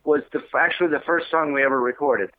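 A man's voice: fairly high pitch, quick pace, no room sound. A man recalls his band's early days in a loose, rambling manner, full of ums and uhs. His voice comes through a tinny phone line. The sound is dry and close. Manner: rambling, lots of fillers. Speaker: interview guest, musician. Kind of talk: casual interview.

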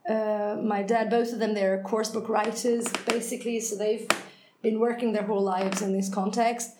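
A woman's voice: medium pitch, flat delivery, moderate pace. Woman talking through hesitations. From Hungary. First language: Hungarian. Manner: thoughtful, self-correcting, frequent hesitations. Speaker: head of English department, guest. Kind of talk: unscripted conversation. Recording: echoey room, faint noise.

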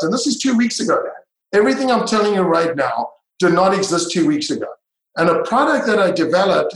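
A middle-aged man born in South Africa and raised in Israel, talking as an entrepreneur guest in an interview, emphatic, with repetitive, drawn-out phrasing. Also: a little high, vocal fry. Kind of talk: interview conversation. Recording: echoey room.

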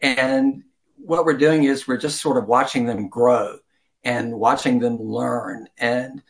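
A man's voice: mid-range voice, fairly even intonation, slow pace, low-quality recording. This is an older man with a mid-range voice, chatting as an interview guest. He talks in a rambling, self-effacing way and tends to chuckle as he explains himself. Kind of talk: conversational interview answers.

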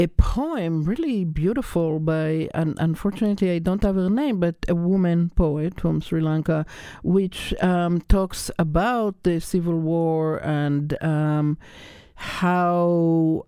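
Woman radio host, smooth resonant voice, mellow, dry close mic, pausing with ums. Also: on the low side, no room sound. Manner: unhurried, with filler pauses. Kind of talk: radio interview.

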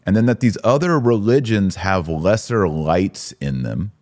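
A man's voice: sits low, lively intonation, a little slow, a little mellow. A man hosting a podcast, giving conversational religious commentary in an emphatic, teacherly way. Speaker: podcast host.

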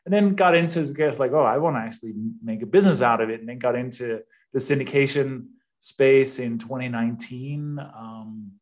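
A man's voice: mid-range voice, moderate pace. A man in his fifties from Denmark, with a Danish accent, talking informally as a guest on a podcast. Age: 50s. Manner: conversational, informal. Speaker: podcast guest, real estate investor. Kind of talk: casual interview chat.

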